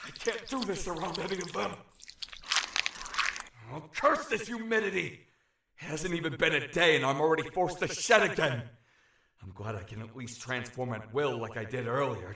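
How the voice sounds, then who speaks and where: An actor playing an anxious young shapeshifter from another realm in an audio drama, talking to himself in a fretful, halting way. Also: male voice, mid-range voice, pushed and bright. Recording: roomy echo over prominent music.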